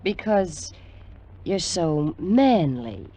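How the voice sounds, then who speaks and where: An actress playing a cute, sly wife in a 1950s radio drama, laconic, coy and dryly sarcastic. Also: female voice, on the low side, big pitch swings, very slow, mellow, exaggerated voice. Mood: dry, sardonic. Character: cute, sly, sardonic young wife with cool allure.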